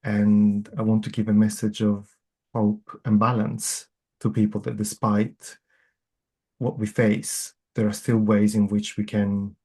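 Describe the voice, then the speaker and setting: Mellow-voiced non-native English man. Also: lowish voice, monotone, husky. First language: not English. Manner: measured, thoughtful, gently earnest. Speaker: guest author. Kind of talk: reflective interview answers.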